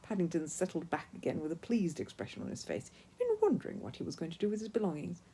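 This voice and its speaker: A woman's voice: on the low side, wildly swooping pitch, quick pace, warm tone, a little hiss or hum. A woman narrating a children's story expressively, as a storyteller reading from a book.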